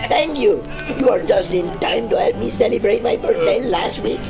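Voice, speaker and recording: A man playing an excitable, naively cheerful foreign doctor who muddles idioms in an old-time radio detective comedy. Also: high-pitched, moderate pace, gravelly, over music. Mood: delighted. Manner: effusive, muddles English idioms.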